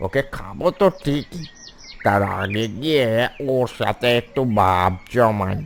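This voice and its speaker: A man's voice: on the low side, lively intonation, measured pace, gravelly, slight background noise. A man voicing a sly, greedy cartoon fox with a wheedling, falsely sympathetic manner. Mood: scheming, greedy. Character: sly, greedy male fox coaxing his prey.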